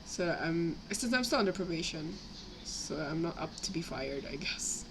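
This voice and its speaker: A young woman with a slightly raspy voice from a cough, rambling casually as she chats on a live stream while building models. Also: low-pitched, lively intonation, normal speed. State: sick with a cough, itchy throat. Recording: clear background noise, home livestream.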